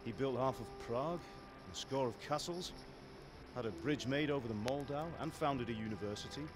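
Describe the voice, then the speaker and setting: Game role: stern middle-aged blacksmith father male actor, mid-pitched, firm admonishing manner, over music. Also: normal speed, natural voice. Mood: reflective. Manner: fatherly, plain-spoken, admonishing. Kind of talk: video game cutscene acting.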